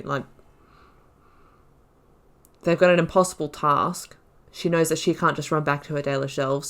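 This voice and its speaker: A woman's voice: deep voice, smooth. A woman giving casual let's-play commentary, thinking aloud reflectively and reading out dialogue as her character. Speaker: gaming YouTuber.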